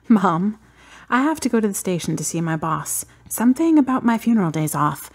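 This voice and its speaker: An actress playing a wry, guarded, stubborn daughter in a radio drama, with a husky voice and a deliberate, dry delivery. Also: female voice, medium pitch, sing-song, a little mellow, exaggerated voice. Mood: calm, subdued.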